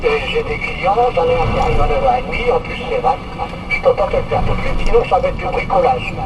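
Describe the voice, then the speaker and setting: A man's voice: on the high side, lively intonation, fast. A man in his late sixties chatting casually over a radio link. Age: late 60s. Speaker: repairer, radio contact. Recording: noisy radio transmission.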